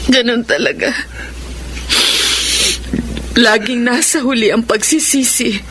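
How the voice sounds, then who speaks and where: A woman's voice: fairly high pitch, takes it slowly, background noise. A Filipino woman acting an anguished, loving mother in a melodramatic radio drama. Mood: sorrowful. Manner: melodramatic, emotional.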